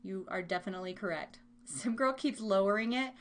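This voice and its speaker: A woman's voice: medium pitch, a little fast. A woman with an American accent hosting a live trivia game in a casual, playful, chatty way. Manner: playful, chatty, giggly asides to listeners. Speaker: live show host running a trivia game. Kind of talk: casual live-stream chat.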